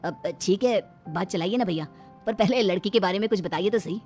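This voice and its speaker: A woman's voice: mid-range voice, a little fast, a little mellow, lightly acted. Middle-aged husband for cartoon family tale, female performer, familiar, ordering manner, light music. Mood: agreeable. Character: hospitable, impatient middle-aged husband and father.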